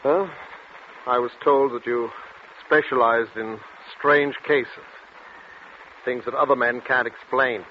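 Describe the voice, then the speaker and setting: A man's voice: mid-range voice, steady tone, slow, pauses often, lightly acted. An actor playing an agitated, haunted man with a hesitant, guarded way of talking in 1940s radio drama. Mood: wary, uneasy. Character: agitated, wary, haunted man back from the dead. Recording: dull, noisy 1940s radio recording.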